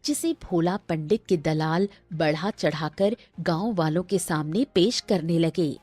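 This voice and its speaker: A woman's voice: lowish voice, a little slow, darkish tone, no echo. A woman narrating a scripted cartoon story.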